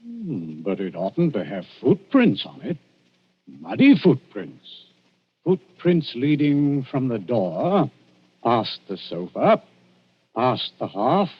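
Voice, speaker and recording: A man playing a gruff, shrewd police inspector in old London, commanding and blunt, in a 1940s radio drama style. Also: medium pitch, huge pitch swings, slow, exaggerated voice. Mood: sly, probing. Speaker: actor. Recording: muffled vintage 1940s radio recording.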